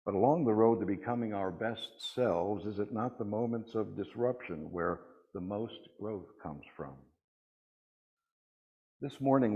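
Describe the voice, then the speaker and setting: Deliberate, low-pitched man reflecting aloud. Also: muffled recording. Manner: deliberate, rhetorical phrasing with pauses. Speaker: speaker at a worship service. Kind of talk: prepared reflective sermon-like reading.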